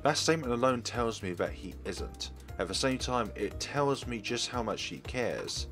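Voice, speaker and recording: A man's voice: medium pitch, sing-song, normal speed, a bit bright, soft background music. A man reading a visual novel's text aloud expressively as a let's player, in a formal manner with small stumbles. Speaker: let's play streamer. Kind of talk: dramatic read-aloud of game text.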